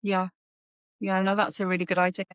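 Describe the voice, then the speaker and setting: Female mentee on a casual call, smooth clear voice, agreeable and self-effacing, little room sound. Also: medium pitch, completely flat delivery, fairly quick, dry recording, clean studio sound. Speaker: healthcare professional being mentored. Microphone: tablet on a video call.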